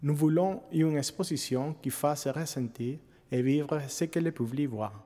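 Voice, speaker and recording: A man's voice: on the high side, a little slow, warm tone. A man from Venezuela with a Venezuelan accent, reading scripted statements. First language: Spanish. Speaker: exhibition participant. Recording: long reverb.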